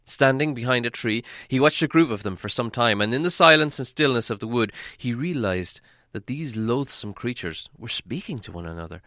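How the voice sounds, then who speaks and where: A man narrating a fantasy audiobook as dramatic storytelling, theatrical, with deliberate pauses. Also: medium pitch, expressive, sharp tone, dry recording, clean studio sound.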